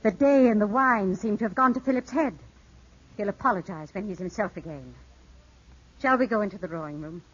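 A woman's voice: medium pitch, fairly quick, breathy edge, close to an everyday voice. An actress playing a poised, alluring lady in a 1950s radio drama, refined and theatrical, tender yet reproving. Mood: controlled indignation. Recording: dull 1950s radio broadcast, faint music.

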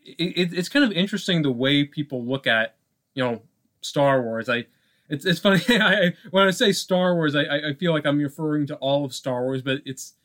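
Guy co-hosting a movie podcast, voice on the higher side, thoughtful, stop-start, wordy. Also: normal speed, fairly bright tone. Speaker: podcast co-host. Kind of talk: casual film commentary.

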